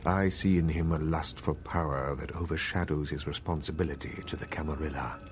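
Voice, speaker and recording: An actor playing a courtly, guarded elder vampire in a video game, speaking in a formal, measured, condescending manner. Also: male voice, extremely low pitch, fairly even intonation. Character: courtly, guarded elder male vampire clan leader, patronizing and calculating. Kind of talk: video game dialogue acting. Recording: faint background ambient noise.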